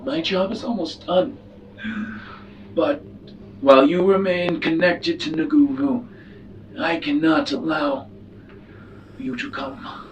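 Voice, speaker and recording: A man playing a grave, secretive alien elder statesman in a tabletop roleplay, speaking formally and with measured solemnity. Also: fairly high pitch, lively intonation, recorded from a distance, highs cut off, a little hiss or hum. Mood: grave, foreboding.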